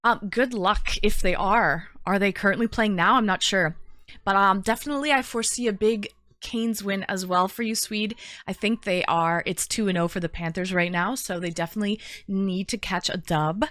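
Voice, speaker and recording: Canadian lady streamer just chatting w viewers, informal, repeats herself, medium pitch, dry no room echo. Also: moderate pace, fairly bright tone. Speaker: livestream host. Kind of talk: casual livestream chat, greeting viewers.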